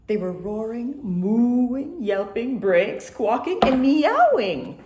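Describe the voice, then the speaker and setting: A woman reading a children's picture book aloud with an expressive storytelling manner. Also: on the high side, background noise. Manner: expressive, slow, storytelling delivery. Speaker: storybook reader. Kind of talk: children's read-aloud narration. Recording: echoey room.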